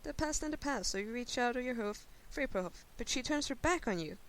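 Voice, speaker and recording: A woman's voice: a little high, expressive, a little fast. A woman giving an informal dramatic reading of a story in a brash, sweary, conversational way. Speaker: guest reader of fan fiction. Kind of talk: informal dramatic reading, second-person narration. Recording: hissy home recording, faint noise.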